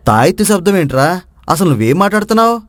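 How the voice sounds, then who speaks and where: A man's voice: high-pitched, big pitch swings, quick pace, no echo, studio quality. A man voicing an evasive village fellow who denies and mocks, in acted moral-story dialogue. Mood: defensive. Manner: denying, mocking. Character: evasive village man, hero's childhood friend.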